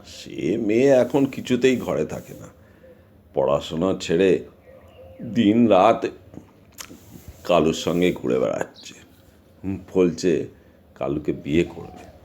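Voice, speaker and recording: A man playing a middle-aged village father in an audio drama, speaking in a measured, fatherly, authoritative manner. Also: low-pitched, expressive, warm tone, exaggerated voice. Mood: matter-of-fact.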